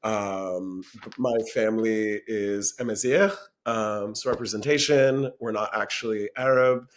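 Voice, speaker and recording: Young male podcast guest from Florida, lowish voice, deadpan comedic delivery. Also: measured pace. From Florida, USA. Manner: dry deadpan, many ums and likes. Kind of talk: casual comedic chat.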